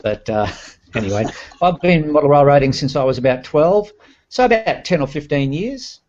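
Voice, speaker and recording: A man's voice: a little high, animated, moderate pace, darkish tone, a little hiss or hum. Chatty Scottish man on a muffled call. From Scotland. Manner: chatty, self-deprecating, laughing between phrases. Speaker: guest modeler. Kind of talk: casual self-introduction. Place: room by a window. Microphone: webcam on a video call. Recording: muffled video-call audio.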